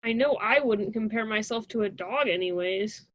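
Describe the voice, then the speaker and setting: A woman's voice: fairly high pitch, moderate pace, no echo, highs cut off, natural voice. A young woman playing a stubborn, sarcastic college student in a radio drama, with a dismissive conversational delivery. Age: young adult.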